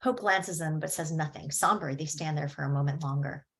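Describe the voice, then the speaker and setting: Casting: a woman with a lowish voice reading stage directions steadily. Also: brisk, fairly bright tone. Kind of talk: table read narration.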